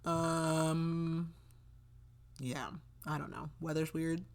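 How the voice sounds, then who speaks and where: Chatty woman podcast co-host, lowish voice. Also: little pitch movement, extremely slow, darkish tone. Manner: chatty, laughs often, trails off mid-sentence. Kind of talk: casual chat.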